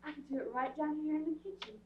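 A woman's voice: sits high, steady tone, fast talker, slight background noise, lightly acted. A woman in a radio theatre play acting a wistful, lovesick young society girl, artless and yearning. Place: theatre stage before an audience. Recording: distant echoey stage, dull sound.

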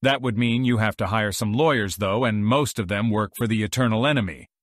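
A man's voice: lowish voice, fairly quick, no echo, studio quality, close to an everyday voice. An actor playing a sly, irreverent male archangel in an audiobook, speaking with mock deference. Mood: slyly respectful. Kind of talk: audiobook character acting.

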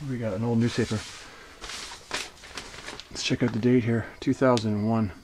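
A man's voice: mid-range voice, little pitch movement, moderate pace. Enthusiastic man exploring an abandoned ship. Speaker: urban explorer vlogger. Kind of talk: casual on-location vlog. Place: outdoors on a ship deck, then inside an abandoned ship. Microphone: handheld camera mic, selfie framing. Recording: outdoor ambient noise on camera mic.

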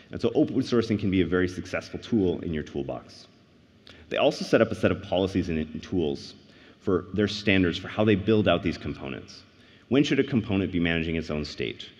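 A man in his thirties with a low voice gives a tech keynote from a big stage, earnest and explanatory, lifting his voice on key points. His headset mic carries a long hall reverb, and the livestream sound is a little dull. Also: a little mellow. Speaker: conference keynote speaker. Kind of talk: tech conference keynote talk. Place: large conference stage.

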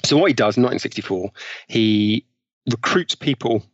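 A man's voice: medium pitch, animated, normal speed, no echo. British man explaining, slightly dull recording. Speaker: interview guest, author on behavioral science. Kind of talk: conversational explaining with examples.

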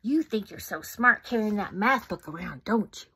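A woman's voice: mid-range voice, expressive, normal speed, bright, forceful tone, husky, full character voice. A woman voicing a sneering male muskrat bully in a storybook read-aloud.

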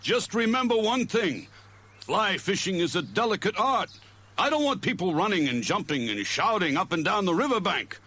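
A man voicing a loud, boastful, pompous grown man in a storybook reading. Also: sits high, sing-song, normal speed, husky, pitched above the performer's own voice, exaggerated voice. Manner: blustery and self-important.

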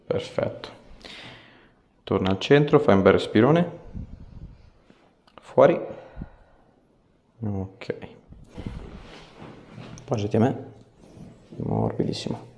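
A man, a chiropractor giving a patient short, gentle instructions during treatment. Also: lowish voice, wildly swooping pitch, slow pace, vocal fry, pauses often, highs cut off. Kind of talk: hands-on patient instructions, ASMR treatment video. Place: small indoor treatment room. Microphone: small clip-on mic on his scrubs. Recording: echoey room with background noise.

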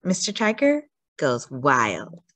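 A woman's voice: low-pitched, wildly swooping pitch, takes it slowly, fairly bright tone, no room sound. A woman reading a children's story aloud expressively, stretching words out for theatrical emphasis.

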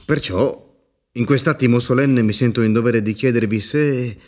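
An actor playing a ceremonious, pompous judge of a private court in a radio drama. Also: male voice, medium pitch, moderate pace, lightly acted. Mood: solemn, grandiose.

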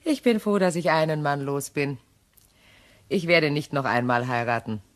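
Low-voiced guarded widow, radio drama actress. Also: female voice, normal speed, close to an everyday voice. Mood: firm, cool. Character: guarded, self-reliant widow hiding her fear. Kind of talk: German crime radio play acting.

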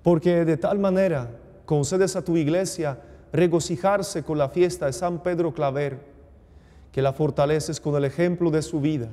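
A man in his forties, a priest speaking solemnly and with measured exhortation at Mass. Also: fairly high pitch, steady tone, dark tone. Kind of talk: homily and liturgical prayer. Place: small indoor TV studio chapel. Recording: long reverberant hall echo.

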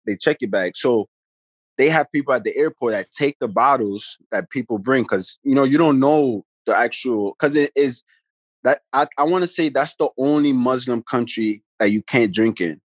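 A man, a guest telling stories in a relaxed, rambling way on a video call from his phone at home. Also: mid-range voice, normal speed, no room sound. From the Bronx, New York. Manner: laid-back, rambling, many "you know what I mean" tags. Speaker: guest, pro basketball player. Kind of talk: casual storytelling chat. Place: room at home, indoors. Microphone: phone camera held close on a video call.

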